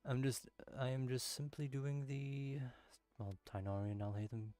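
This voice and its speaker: Casual mellow-voiced gaming streamer man. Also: medium pitch, moderate pace, no room sound, faint music behind. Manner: joking, rambling asides. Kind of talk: casual commentary over gameplay.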